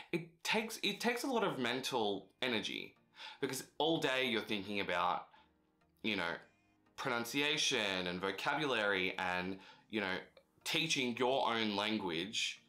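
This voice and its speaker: Bright-toned chatty young man. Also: on the low side. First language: English. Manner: chatty, conversational, frequent fillers. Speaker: vlogger. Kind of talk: casual advice vlog.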